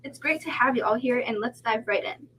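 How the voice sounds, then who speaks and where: A woman's voice: fairly high pitch, brisk, a bit bright, a little hiss or hum, low-quality recording. A young woman, a student podcast host, reading polished, formal introductions. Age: young adult. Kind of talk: scripted show introduction.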